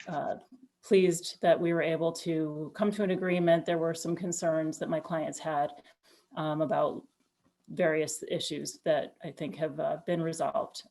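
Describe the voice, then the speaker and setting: A woman's voice: deep voice, creaky, mellow. A woman attorney giving a formal, careful statement for her clients at a remote public hearing. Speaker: attorney for neighbors.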